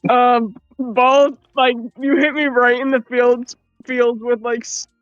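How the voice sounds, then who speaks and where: A guest on a call reacting in slow, halting, stunned bursts. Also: mid-range voice, level tone, a bit bright, clear, resonant tone, no echo, muffled recording, faint music behind. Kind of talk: casual chat.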